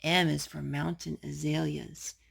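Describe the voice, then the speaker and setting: A woman with a slightly husky voice reading a children's picture book aloud as a storytime host. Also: sits low, little pitch movement, measured pace.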